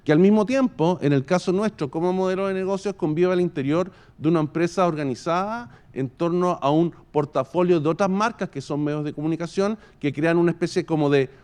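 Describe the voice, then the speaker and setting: Higher-pitched, clear-voiced Chilean man presenting. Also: darkish tone. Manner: halting, deliberate, formal wording with long winding sentences. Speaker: radio and podcast company executive presenting a case study. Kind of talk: explanatory business presentation.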